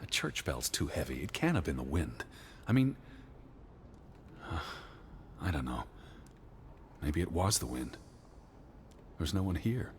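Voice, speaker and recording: An actor playing an anxious, bookish 1920s gentleman searching for his missing sister in a video game drama, speaking earnestly in precise, formal phrases. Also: rumbling low, very animated, a little slow, pauses often, slight background noise, heavily acted. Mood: puzzled.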